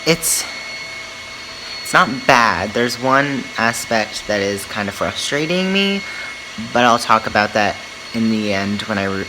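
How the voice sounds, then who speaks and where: A man talking in a chatty, informal and halting way, giving casual opinions as a book vlogger. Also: on the low side, animated, noisy background.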